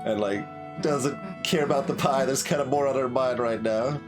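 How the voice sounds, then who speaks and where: A man giving casual game commentary as host, thinking aloud in a halting way. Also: medium pitch. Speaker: gaming video host. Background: game music.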